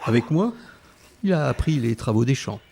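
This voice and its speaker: A man's voice: mid-range voice, animated, normal speed, creaky, a little mellow, slightly rough. A man playing a plain-spoken survivor who narrates his wartime youth reflectively in an audio drama. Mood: reflective, wistful. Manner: reflective storytelling. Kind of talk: scripted first-person narration in audio drama.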